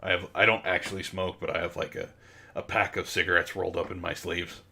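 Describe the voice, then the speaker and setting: A man voicing a sullen teenage echidna, an edgy bad boy who talks haltingly in a comic story reading. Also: low voice, little pitch movement, creaky, pushed and bright, pitched below the performer's own voice, heavily acted. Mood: edgy, posturing. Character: gruff talking echidna high-schooler, lazy edgy bad boy.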